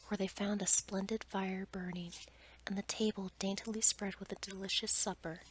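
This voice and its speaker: A woman softly whispering a bedtime story in ASMR style, with a breathy, gentle delivery. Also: medium pitch, low-quality recording. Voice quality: whispered, breathy, mostly unvoiced. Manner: soft, gentle reading with little change between characters. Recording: noticeable background noise.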